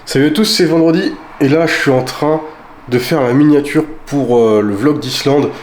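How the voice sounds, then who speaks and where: A man's voice: on the high side, normal speed, darkish tone, a little hiss or hum. A young man vlogging casually about his week, rambling with lots of fillers and restarts, sounding stuffy from a cold. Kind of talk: casual weekly vlog chat.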